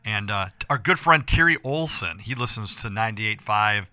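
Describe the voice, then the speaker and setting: An American man hosting a casual radio show with a hoarse voice, talking in a rambling, emphatic way and repeating himself as he addresses listeners. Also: lowish voice, animated, normal speed, pushed and bright, dry recording. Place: radio studio.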